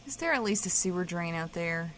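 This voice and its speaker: An actress playing a blunt, teasing, bossy guest co-host who swears freely in a comedic audio drama. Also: female voice, fairly low pitch, lively intonation, fairly quick, a bit bright, a little hiss or hum. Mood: insistent.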